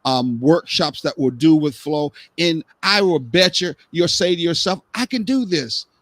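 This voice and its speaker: A man's voice: fairly high pitch, fairly even intonation, a little slow, fairly bright tone, no echo. A man hosting a live-stream talk show, speaking earnestly and rambling with repeated words. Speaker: host.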